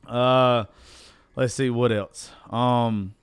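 A Southern US man talks casually as a solo podcast host, with a mid-pitched voice that is strained from overuse yet still fairly clean. He rambles in a folksy, salty-tongued way, recorded close and dry. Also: fairly even intonation, extremely slow, clear, resonant tone, no room sound. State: voice strained from losing it.

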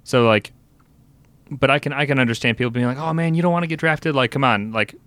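A man's voice: medium pitch, quick pace, fairly bright tone, no echo. A man co-hosting a casual podcast, wry and reasoning things out aloud.

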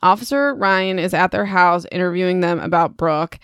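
A woman's voice: fairly low pitch, moderate pace, a bit bright, clean tone, no room sound, clean studio sound. Chatty young woman recapping a book. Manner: chatty, rambling, self-deprecating asides. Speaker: podcast host. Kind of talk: casual book recap podcast.

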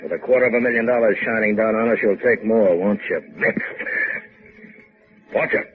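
An actor playing a menacing, greedy, domineering ship's captain and smuggler in a 1950s radio drama. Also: male voice, lowish voice, normal speed, natural voice. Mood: commanding. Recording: muffled 1950s radio recording.

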